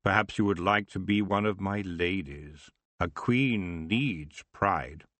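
A man's voice: deep voice, slow, a bit bright, dry recording. Man voicing a languid, seductive elf king. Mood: amused, languid. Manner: languid, drawling, condescending. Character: lazy, lecherous, seductive elf king with horns. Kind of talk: audiobook character voice.

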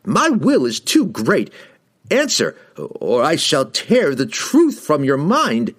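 A man voicing an arrogant, menacing wizard in a fantasy audiobook, slow and imperious as he presses his demands. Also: medium pitch, huge pitch swings, voice pushed up. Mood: roaring, angry.

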